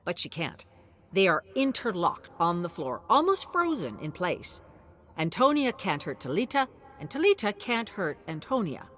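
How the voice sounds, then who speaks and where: A woman reading a scripted dramatic story in an expressive, measured way, in short phrases. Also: fairly low pitch. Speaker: narrator.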